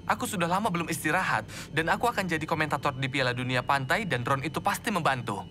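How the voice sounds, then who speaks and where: An actor playing an amiable, weary man in an animated children's comedy. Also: fairly high pitch, fast talker, noisy background, close to an everyday voice. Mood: wistful.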